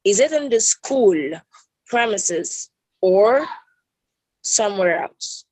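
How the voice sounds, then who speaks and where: A woman's voice: medium pitch, lively intonation, slow pace, darkish tone, dry recording, poor audio. A woman with a Nigerian accent, a prospective student politely asking formal questions in an online meeting, often rephrasing them. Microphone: video call.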